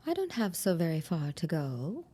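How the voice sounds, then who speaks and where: A woman's voice: fairly low pitch, huge pitch swings, moderate pace, warm tone, slightly rough, full character voice. An actress playing a haughty, spiteful woman in a period audio drama, with a cool, cutting, disdainful manner. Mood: cool, dismissive.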